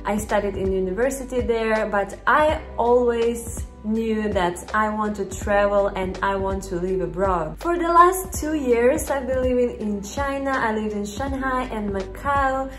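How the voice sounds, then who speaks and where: A woman's voice: on the high side, unhurried. Young Russian-accented woman in echoey room. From Russia, Vladivostok. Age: young adult. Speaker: vlogger. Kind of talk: casual chat to camera. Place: bedroom, indoors. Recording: roomy echo, music underneath. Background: background music.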